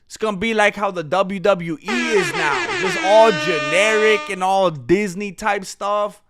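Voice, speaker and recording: A man's voice: high-pitched, unhurried, music in the background. A man chatting casually on a live stream, joking and drifting into song, who says he is losing his voice. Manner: jokey, breaks into off-key singing and ad-jingle bits.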